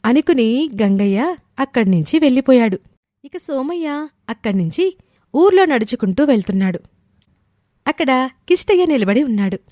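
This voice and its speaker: A woman's voice: high-pitched, expressive, normal speed, long pauses, warm tone, no room sound. A woman reading a scripted moral story as its narrator. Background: background music.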